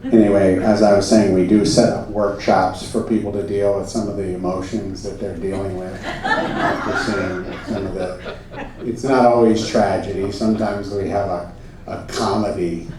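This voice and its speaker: A man performing on a theater stage in a comedy show, speaking with deadpan, mock-earnest solemnity as a presenter. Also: deep voice, normal speed, crowd noise. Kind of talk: comedy stage show, solemn story narration and audience address. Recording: echoey room, far from the mic, music.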